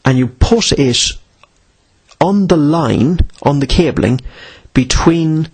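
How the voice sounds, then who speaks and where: A man's voice: a little high, slow, a little mellow, husky. A man presents an informal instructional talk, often posing questions and answering them himself, repeating key points for emphasis. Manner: asks and answers own questions, emphatic repetition. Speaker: presenter of instructional radio videos.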